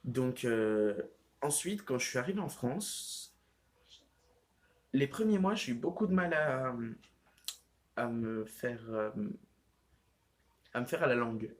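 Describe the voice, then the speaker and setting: A teenage boy chats casually into the camera at home. He rambles, stacking fillers and restarts as he goes. His voice sits at a middle pitch. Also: expressive, slow pace, pauses often. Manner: rambling, heavy use of fillers like "genre" and "euh". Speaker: vlogger. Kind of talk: casual vlog chat.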